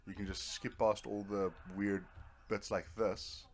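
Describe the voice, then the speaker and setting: A man's voice: on the low side, level tone, unhurried. A man giving casual, rambling let's play commentary as he streams a video game, half talking to himself with playful little words. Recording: clear background noise from nearby TV. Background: Netflix playing in the background.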